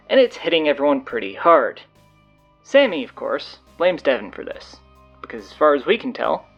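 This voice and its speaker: Bossy teenage girl announcer played by a woman for audio drama: low register, swooping delivery, blunt sarcasm. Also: dull audio. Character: bossy, sardonic teenage high school girl taking over announcements.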